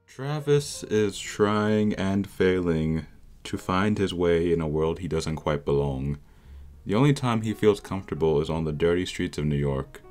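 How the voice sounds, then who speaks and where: A man's voice: low-pitched, a little mellow. A man reading a scripted, analytical video essay as narrator, with instrumental music behind him.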